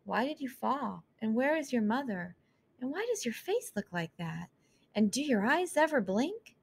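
A bright, squeaky little ballerina mouse, as a woman puts her on, full of quick questions. Her voice sits well above the storyteller's own and bounces around lively. This is a storybook read-aloud voice. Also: creaky, husky, exaggerated voice. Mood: curious. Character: curious chatty little girl mouse ballerina.

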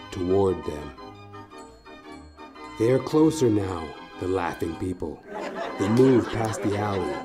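A man narrates a horror tale over clear background music. His delivery is slow and suspenseful, broken into short, deliberate phrases with theatrical weight. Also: on the low side. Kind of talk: dramatic second-person horror story narration.

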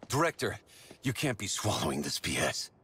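A man's voice: deep voice, very animated, moderate pace, fairly bright tone, breathy edge, exaggerated voice. A man playing a tough, skeptical soldier in a game, blunt and challenging. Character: tough, skeptical male soldier on a villain squad. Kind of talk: video game cutscene acting.

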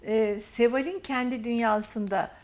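A woman hosting a TV interview, affirming her guest warmly and drawing out her phrases. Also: a little high. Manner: warm, affirming, drawn-out phrasing. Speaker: TV interview host.

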